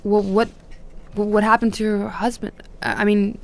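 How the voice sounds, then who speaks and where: A woman's voice: medium pitch, level tone, moderate pace, gravelly, a little mellow, lightly acted. A young woman playing a guarded, curt academic in an audio drama, speaking tersely and hesitantly. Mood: hesitant, softening. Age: young adult. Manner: terse, hesitant, polite but annoyed. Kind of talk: naturalistic audio drama acting.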